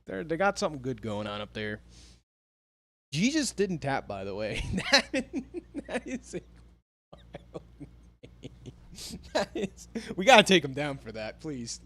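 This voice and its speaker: A man's voice: a little high, very animated, a little slow, pauses often, a bit bright. An American man chatting casually on a live gaming stream, laid-back and laughing often as he reads chat. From United States. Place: home near a big city.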